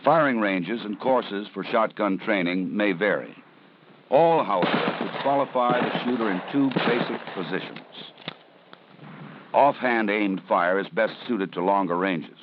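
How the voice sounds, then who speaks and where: A man narrating a 1970s police training film in a measured, serious, instructive way. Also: on the low side. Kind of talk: scripted documentary narration. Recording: dull 1970s film soundtrack with faint hiss.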